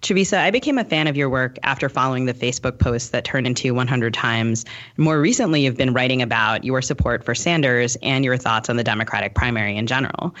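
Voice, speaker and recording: A man's voice: quick pace, a bit bright. A man co-hosting a podcast, putting prepared interview questions to a guest. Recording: slightly dull, low-fidelity sound.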